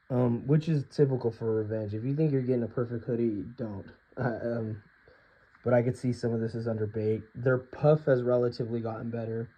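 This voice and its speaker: A hoarse man talking informally and with strong opinions in an unscripted collector's review, often clearing his throat. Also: mid-range voice, dull audio. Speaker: hoodie collector reviewing products. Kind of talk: casual unscripted compare-and-contrast review.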